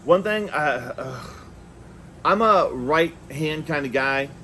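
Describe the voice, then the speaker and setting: Man giving halting gear demo, faint noise. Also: on the high side, lively intonation, sharp tone. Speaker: product reviewer. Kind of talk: casual hands-on product demonstration.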